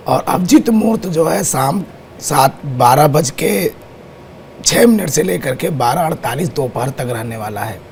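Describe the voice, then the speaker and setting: A man with a sore, hoarse throat explains astrology as a guest on a TV show. His voice is gravelly and fairly high, with a faint hiss in the background. He moves steadily through lists of times and dates. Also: darkish tone. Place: TV studio.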